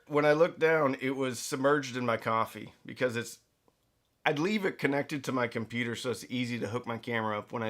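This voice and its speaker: A man's voice: mid-range voice, a bit bright. A man presenting a product review in a casual, conversational way, with emphatic stresses and playful asides. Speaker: reviewer and host of a budget audio gear channel.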